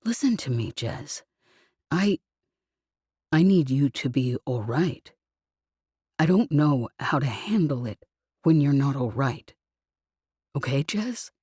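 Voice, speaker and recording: Woman reads as hurt young man, low, mumbling, slow, soft, breathy. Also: no room sound, studio quality, heavily acted. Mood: tender, groggy. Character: injured drunk-feeling young man.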